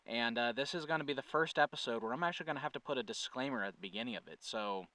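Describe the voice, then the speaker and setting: A man with a smooth, resonant voice talks casually to his viewers as the channel's host. He is earnest and conversational, working out his sentences as he goes. The sound is dry with little room, and he sits a little away from the mic. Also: mid-range voice, racing, bright, forceful tone, no room sound, far from the mic. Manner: earnest, conversational, thinking aloud with self-corrections. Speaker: channel creator and host, writer-producer. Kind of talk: casual update talk to viewers.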